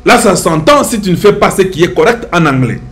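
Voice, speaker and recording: Man, Congolese French accent, middle-aged, preachy commentary, high voice, very lively pitch. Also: brisk. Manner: preachy, emphatic, rhetorical questions. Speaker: commentator addressing viewers. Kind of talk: impassioned social commentary.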